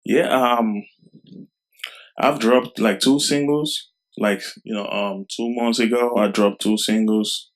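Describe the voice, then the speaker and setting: Low, flat-voiced man from Cameroon. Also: rough recording. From Cameroon, raised in Germany. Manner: soft-spoken, halting, many fillers like "you know". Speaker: rapper, interview guest. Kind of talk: casual interview talk.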